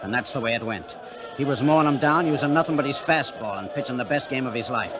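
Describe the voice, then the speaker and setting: An actor playing a hot-tempered, guilt-ridden baseball catcher in a vintage American radio drama, with gruff, slangy, clipped talk. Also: male voice, medium pitch, quick pace, lo-fi sound, noisy background, close to an everyday voice. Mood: tense recounting. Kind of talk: 1940s-50s American radio drama acting. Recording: vintage radio recording with music.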